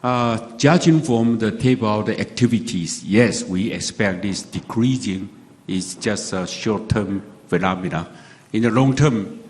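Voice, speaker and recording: A man's voice: fairly low pitch, measured pace, a little mellow. A man speaking as a company executive, giving formal answers on an earnings conference call. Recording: reverberant hall with faint noise.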